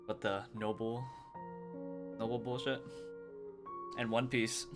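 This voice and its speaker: A man's voice: medium pitch, level tone, slow. A man giving casual, slangy gameplay commentary as a streamer, in an offhand way. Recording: game music behind the voice.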